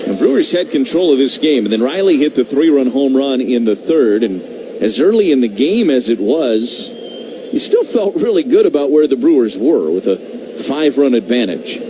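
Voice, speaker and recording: A man doing live baseball play-by-play on radio, talking in measured short phrases with conversational asides. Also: medium pitch, very animated. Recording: clearly noisy live radio broadcast.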